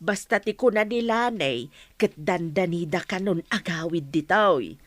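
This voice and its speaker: A woman's voice: lowish voice, animated, measured pace, no echo. An actress playing a plain-spoken village mother in a radio drama. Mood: informing.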